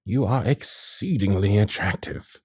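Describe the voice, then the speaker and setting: A man playing a gallant, protective Victorian gentleman suitor in a romantic audio roleplay, speaking in formal, genteel, old-fashioned phrases. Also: on the low side, unhurried, husky, no echo, studio quality. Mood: tender, reassuring. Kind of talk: romantic period-drama ASMR roleplay.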